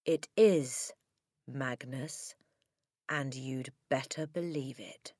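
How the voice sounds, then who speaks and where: A woman voicing a menacing ghost of a dead man in a children's storybook, in a hushed, breathy, ominous whisper. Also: extremely low pitch, very slow, no room sound, clean studio sound, exaggerated voice. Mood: eerie.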